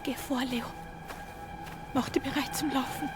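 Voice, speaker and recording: A woman's voice: sits high, level tone, moderate pace, natural voice. A woman playing a desperate, intense survivor in an audio drama, speaking urgently and emotionally in short phrases. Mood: urgent, hushed. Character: desperate armed female survivor protecting a child, intense. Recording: background music under the voice.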